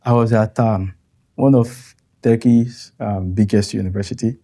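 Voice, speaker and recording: A Nigerian man whose first language is Yoruba, speaking as an interview guest in a thoughtful, halting way with frequent soft laughs. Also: lowish voice, fairly even intonation. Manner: thoughtful, halting, chuckles often. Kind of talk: interview conversation.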